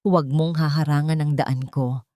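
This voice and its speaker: A woman voicing a wronged young nanny in an audiobook drama, firm and intense, with deliberate phrasing. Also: low voice, steady tone, dark tone, dry recording, clean studio sound, natural voice. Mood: cold, firm.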